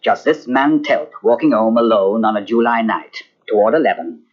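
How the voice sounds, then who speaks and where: An actor playing a terse, plain-spoken detective. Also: male voice, on the low side, normal speed, lightly acted. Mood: blunt. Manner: blunt, terse. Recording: dull old radio transcription.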